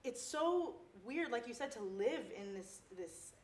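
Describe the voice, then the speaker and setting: A woman's voice: fairly high pitch, animated, measured pace. Earnest young woman, distant roomy sound. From Torrance, California. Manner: earnest, thinks aloud with many restarts. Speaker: panelist. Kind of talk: casual panel discussion.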